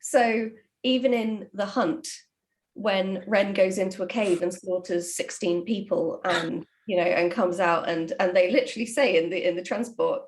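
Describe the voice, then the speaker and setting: A woman, a writer, talking casually and explaining her craft with animated emphasis. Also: medium pitch, moderate pace, a little hiss or hum. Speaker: audio drama writer. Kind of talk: casual discussion of writing.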